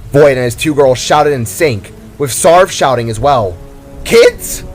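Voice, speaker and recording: Guy narrating a dramatic story, average male pitch, rain and soft music behind. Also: lively intonation, normal speed. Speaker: author narrating his own story.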